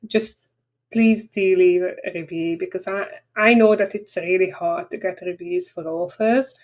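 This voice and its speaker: A woman's voice: lowish voice, fairly even intonation, a little slow, darkish tone. A woman with a Hungarian accent, a native Hungarian speaker, closing a marketing webinar in a chatty, rambling, frank way. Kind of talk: casual webinar wrap-up talk.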